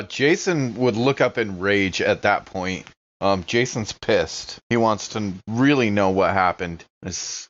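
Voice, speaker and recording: Low-voiced man playing a tabletop game. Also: fairly bright tone, muffled recording. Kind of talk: casual in-character narration of an actual-play game.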